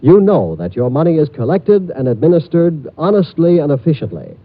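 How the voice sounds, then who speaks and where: A man's voice: fairly high pitch, sing-song, fairly quick. A man announcing credits and a public service appeal in formal 1950s radio style, on an old lo-fi recording. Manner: smooth formal announcer delivery. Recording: dull lo-fi old radio transcription.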